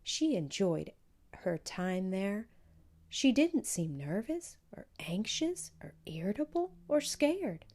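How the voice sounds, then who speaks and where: A woman voicing a caring, thoughtful schoolteacher in a storybook, in a soft, measured, wondering manner. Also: medium pitch, very animated, heavily acted. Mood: admiring. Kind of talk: children's storybook read-aloud.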